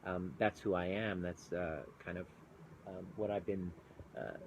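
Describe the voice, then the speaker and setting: Earnest man, lowish voice. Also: fairly even intonation, a little slow, a little hiss or hum, rough recording. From Canada. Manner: earnest, chatty, greets viewers mid-thought. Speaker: chiropractor turned coach. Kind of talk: live-streamed casual talk. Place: outdoors overlooking mountains.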